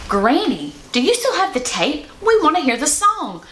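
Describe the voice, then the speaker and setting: Woman doing an eager high-pitched little grandchild. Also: big pitch swings, moderate pace, fairly bright tone, roomy sound. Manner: eager questioning. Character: excited, curious young grandchildren. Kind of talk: children's storybook read-aloud.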